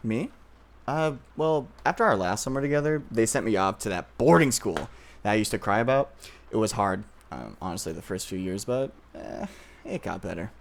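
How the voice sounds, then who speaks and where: A man playing an obsessive, tender but possessive childhood-friend boyfriend in an ASMR roleplay, speaking softly and hesitantly with nervous pauses. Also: lowish voice, animated, vocal fry, slight background noise. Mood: casual, reflective.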